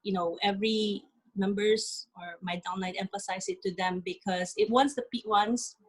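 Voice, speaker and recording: Woman on a video call, earnest, lots of ums, middle pitch. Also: steady tone. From Philippines. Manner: earnest, halting with many ums. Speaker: guest speaker. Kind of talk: webinar presentation.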